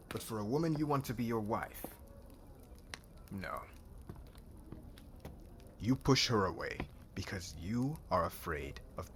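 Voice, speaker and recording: Deep, forceful man acting a stern young king. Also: a little hiss or hum, heavily acted. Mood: insistent. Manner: formal, commanding, measured, uncontracted speech. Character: stern regal young warrior king, earnest brotherly friend. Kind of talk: ASMR audio roleplay drama.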